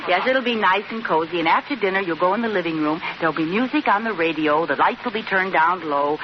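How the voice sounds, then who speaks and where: Wisecracking housewife played by a woman, coaxing, animated, radio comedy, dull noisy recording, studio audience behind. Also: fairly low pitch, fast, natural voice. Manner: coaxing, wry, patient. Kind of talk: 1940s-50s radio sitcom acting.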